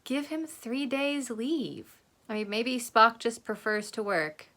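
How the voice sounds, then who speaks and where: A woman with an American accent and a fairly high voice talks casually as she hosts a reaction video. Her commentary is conversational and unscripted. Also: takes it slowly, pushed and bright. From Oregon, United States.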